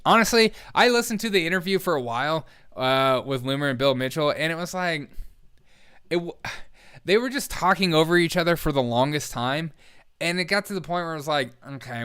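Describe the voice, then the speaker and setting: A man's voice: on the high side, fairly bright tone, smooth, no echo, clean studio sound. A man hosting a livestream, chatting casually and reacting in an informal, rambling way. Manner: informal, rambling, chuckles often. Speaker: host of a livestream show.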